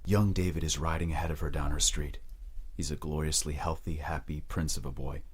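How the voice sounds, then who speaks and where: Very deep, husky male narrator. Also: fairly even intonation, fairly quick. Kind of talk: dramatic scripted narration in present tense.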